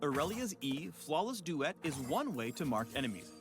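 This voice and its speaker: A man's voice: on the high side, big pitch swings, normal speed, soft background music. A man reading scripted promotional tutorial narration for a video game spotlight, with playful wording.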